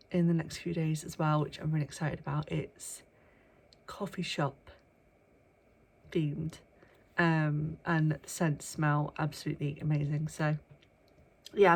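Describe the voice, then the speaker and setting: A young woman chats to camera with a stuffy, bunged-up voice, filling pauses with ums and now and then lowering her voice. Her pitch sits a little low for a woman. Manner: chatty, with frequent ums, sometimes hushed. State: bunged up with a cold. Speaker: vlogger. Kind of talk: casual chat to camera. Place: workplace indoors, early morning.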